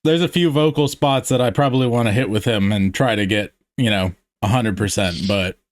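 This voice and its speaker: A man's voice: fairly low pitch, brisk, no echo, studio quality. Rambling, hesitant man chatting. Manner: rambling, hesitant, many fillers. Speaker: podcast host. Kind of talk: casual chat.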